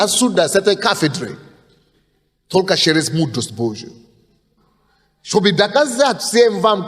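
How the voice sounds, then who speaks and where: A man in his thirties preaching a sermon from the pulpit, insistent and emphatic, often restarting words. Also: high-pitched. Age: 30s. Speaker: pastor. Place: church hall, at the pulpit. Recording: long hall reverb.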